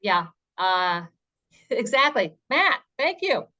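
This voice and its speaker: A woman's voice: a little high, very animated, takes it slowly, sharp tone, dull audio. Woman explaining in a webinar Q&A. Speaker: presenter answering audience questions.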